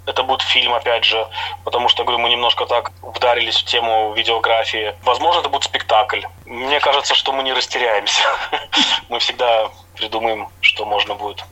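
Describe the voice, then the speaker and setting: A man's voice: mid-range voice, steady tone, a little fast, pushed and bright, rough recording. A middle-aged man from Latvia, a guest on a radio interview, talks about his theatre projects in an easygoing, explanatory way with small hesitations.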